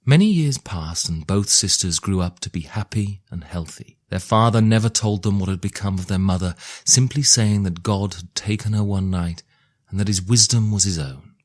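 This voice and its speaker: A man narrating a fairy tale in a measured, theatrical storytelling manner. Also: low voice. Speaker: storyteller and author reading his own tale. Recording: music under the voice. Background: music.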